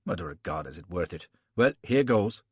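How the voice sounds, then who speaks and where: A man doing a gruff old Irish general. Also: sits low, big pitch swings, normal speed, a little mellow, dry recording, pitched below the performer's own voice, exaggerated voice. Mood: wry. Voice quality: thick brogue, blunt and folksy.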